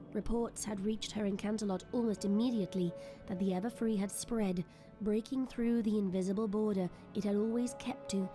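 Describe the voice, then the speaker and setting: A woman reading scripted, dramatic story narration as the narrator of an audio drama. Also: mid-range voice. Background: soft music.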